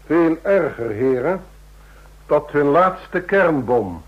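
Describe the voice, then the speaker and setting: Shrewd statesman, radio play, lowish husky voice, lively intonation, formal deliberate phrasing, muffled old recording. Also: heavily acted. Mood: grave, firm. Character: shrewd, easygoing president of a large federation, a man. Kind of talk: old radio drama acting.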